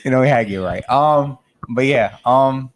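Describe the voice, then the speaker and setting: A man's voice: mid-range voice, unhurried. A man hosting a casual podcast interview, talking in a hesitant way full of fillers. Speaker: podcast host.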